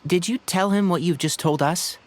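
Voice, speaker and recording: A man voicing a calm, polite young male ranger-scholar in a video game, speaking in a measured way. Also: sits high, slight background noise, close to an everyday voice. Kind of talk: game voice acting.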